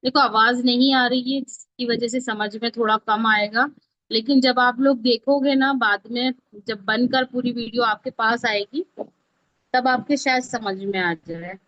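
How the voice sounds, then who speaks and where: Indian lady doing a casual live tutorial, highish voice, checks in with learners, kinda dull lofi sound. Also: fairly even intonation, unhurried, a bit bright, no room sound. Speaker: online instructor teaching mobile video editing. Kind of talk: live step-by-step tutorial, conversational. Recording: dull, lo-fi, close dry audio.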